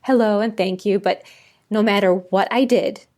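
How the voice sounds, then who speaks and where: A woman's voice: medium pitch, steady tone, normal speed, a little mellow. A woman who grew up speaking Polish, chatting casually and telling anecdotes as a podcast panelist.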